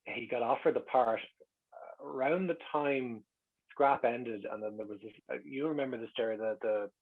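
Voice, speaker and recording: A man's voice: mid-range voice, normal speed, breathy edge. A middle-aged Irish man telling casual, rambling anecdotes as an interview guest, wry and self-deprecating, in a slightly lo-fi recording. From Ireland.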